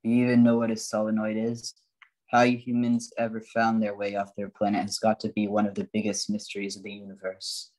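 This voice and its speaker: A man playing a grumpy, sarcastic robot bartender with a flat robotic delivery in an amateur radio play. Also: on the low side, brisk, close to an everyday voice, poor audio. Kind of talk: amateur old-time radio play acting.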